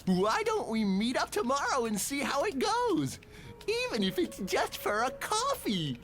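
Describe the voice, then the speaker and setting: A high, hugely animated sweetheart. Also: female voice, a little slow, faint music behind, full character voice. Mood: sweet, coaxing. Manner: animated, coy. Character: flustered woman putting on sweet online-date charm. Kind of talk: motion comic voice acting.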